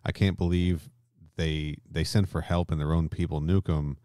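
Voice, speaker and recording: A man's voice: extremely low pitch, fairly even intonation, normal speed, dark tone, dry recording, clean studio sound. A man co-hosting a podcast, talking casually and emphatically, with some swearing. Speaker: podcast co-host. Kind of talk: casual discussion and film plot recap.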